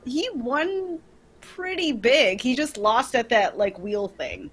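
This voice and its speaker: A woman's voice: fairly high pitch, big pitch swings, takes it slowly, a crowd in the background. A young adult woman chatting casually as a contestant in an online group trivia stream. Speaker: quiz contestant. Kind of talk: casual chat. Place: online group livestream call.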